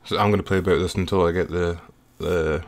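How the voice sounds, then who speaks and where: Male music producer, low register, informal and slightly hesitant, talking through a screen-recorded software tutorial. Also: steady tone, fast. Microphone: voice-over recorded while working at computer.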